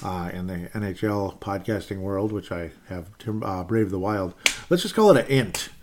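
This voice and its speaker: A man with a lowish voice hosts a loose, unscripted sports podcast. He rambles, stops to correct himself and drops wry little asides as he goes. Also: moderate pace, background noise. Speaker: podcast host. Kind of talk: casual sports podcast talk.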